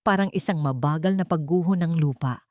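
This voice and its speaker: Low-voiced woman narrating a drama. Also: a little fast, dark tone, dry recording, studio quality. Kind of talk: dramatic scripted storytelling.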